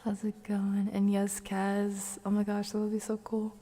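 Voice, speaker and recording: A woman live streamer with a soft, gentle manner, drifting between casual ASMR chat and soft singing. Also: medium pitch, completely flat delivery, unhurried.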